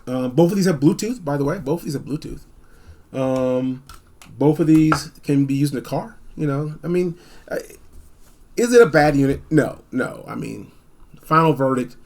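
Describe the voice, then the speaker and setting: Man, casual reviewer, slightly high voice with a husky rasp, blunt and repetitive delivery. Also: lively intonation, a little slow, a little mellow. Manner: rambling, repeats phrases for emphasis. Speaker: product reviewer. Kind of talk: casual unscripted gadget review.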